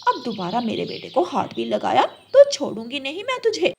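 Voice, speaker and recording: Woman doing a shrill, scolding crow mother. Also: wildly swooping pitch, moderate pace, creaky, darkish tone, a little hiss or hum, full character voice. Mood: angry, taunting. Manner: scolding, taunting. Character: fierce, spiteful crow mother doting on son. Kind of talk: Hindi children's cartoon voice acting.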